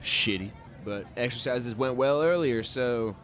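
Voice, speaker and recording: An actor playing a proud, blunt young rodeo rider from West Texas who talks in a guarded way. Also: male voice, medium pitch, unhurried, a bit bright, lightly acted. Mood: subdued. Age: young adult. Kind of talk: radio play acting.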